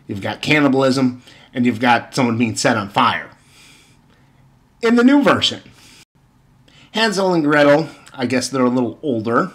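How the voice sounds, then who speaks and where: A man's voice: medium pitch, fairly bright tone. A man giving informal opinion commentary and retelling stories, with exasperated, sarcastic emphasis and rhetorical questions. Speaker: video commentator.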